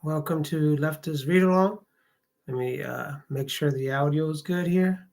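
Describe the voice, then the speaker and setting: A person hosting a casual read-along livestream, talking in a halting, hesitant way. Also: mid-range voice, darkish tone. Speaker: host of a read-along stream.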